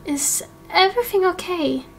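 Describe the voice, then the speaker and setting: A woman voicing a gentle, friendly, curious mother in a game roleplay, in a sweet, inquiring way. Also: extremely high pitch, expressive, normal speed, vocal fry, full character voice. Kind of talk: Minecraft roleplay voice acting.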